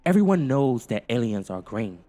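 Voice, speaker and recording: A man's voice: mid-range voice, big pitch swings, normal speed, mellow, soft background music, lightly acted. A man voicing a bossy, breezy studio director in a children's storybook, speaking emphatically. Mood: brisk, dismissive.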